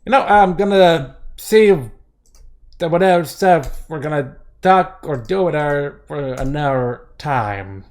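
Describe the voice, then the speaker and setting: A man reading a visual novel aloud as a let's play host, mixing narration with casual, excitable commentary. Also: a little high, slow pace, a little hiss or hum. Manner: excitable outbursts between narrated lines. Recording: game music behind the voice. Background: game background music.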